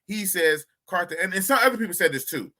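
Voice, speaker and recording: A man's voice: sits high, fast talker. A man hosting a casual live podcast chat, joking around and reading viewer comments aloud. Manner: animated, jokey, reads chat comments aloud. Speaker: podcast host.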